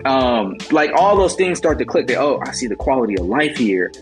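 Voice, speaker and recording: A man's voice: mid-range voice, sing-song, a little fast. Man, twenties, American accent, earnest, motivational, filler-heavy, faint guitar music behind. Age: 20s. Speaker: guest, travel agency founder. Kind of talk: casual interview talk.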